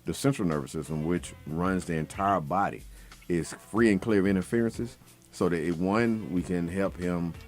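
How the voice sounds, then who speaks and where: A man's voice: sits low, moderate pace, soft background music. A man speaking as a doctor and guest, explaining conversationally in broken phrases with frequent hesitations.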